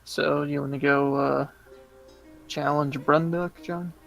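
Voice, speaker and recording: A man playing a tabletop roleplaying game, chatting casually in character in a deliberate, understated way. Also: fairly high pitch, flat delivery, gravelly, faint music behind.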